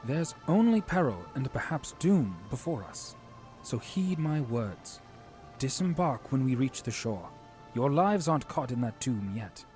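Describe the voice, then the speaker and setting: A man's voice: medium pitch, sing-song, unhurried, darkish tone, soft background music. A man playing an earnest, courteous fantasy hero and troubled leader, speaking formally and solemnly in an audio drama. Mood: grave, urging.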